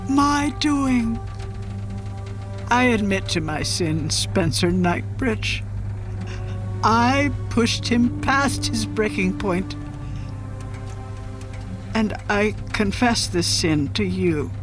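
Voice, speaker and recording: A woman playing a remorseful, fearful former nun in a radio drama, speaking solemnly and haltingly. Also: mid-range voice, lively intonation, soft background music. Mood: anguished confession. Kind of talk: cinematic radio drama acting.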